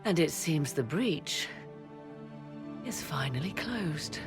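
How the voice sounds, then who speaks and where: A woman's voice: sits low, animated, slow, over music. A woman playing an aloof, imperious and coolly amused lady in a video game, with a haughty theatrical drawl.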